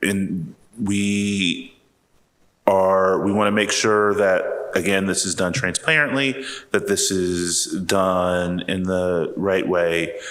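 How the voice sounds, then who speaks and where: A man speaking on a conference panel, measured and hesitant with frequent fillers. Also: low voice, steady tone, a bit bright. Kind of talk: panel discussion remarks. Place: conference hall. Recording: reverberant conference hall.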